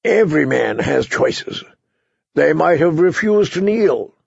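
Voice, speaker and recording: A man voicing a harsh, hot-headed, accusing knight at court in an audiobook, husky, sharp and insistent. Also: on the high side, animated, takes it slowly, no room sound, dull audio, voice pushed up, exaggerated voice.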